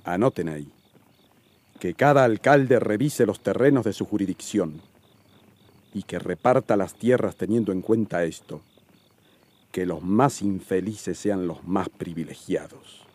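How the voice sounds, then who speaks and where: An actor playing a resolute revolutionary general who dictates decrees in a commanding, emphatic way in a radio drama. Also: male voice, sits low, unhurried, a little mellow.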